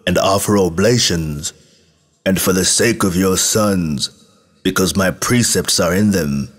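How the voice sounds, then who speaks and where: A man's voice: deep voice, measured pace, a bit bright, in a large hall. A man voicing the Son of God as a grave, reproachful divine judge in a scripture reading. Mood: solemn mercy.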